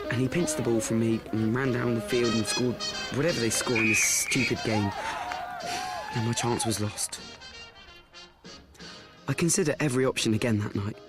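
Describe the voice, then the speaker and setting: A man's voice: mid-range voice, close to an everyday voice, low-quality recording. An actor playing an anxious, awkward schoolboy who narrates his woes in a rambling radio play monologue, correcting and repeating himself. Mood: dejected, frantic. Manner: rambling, repetitive, self-correcting.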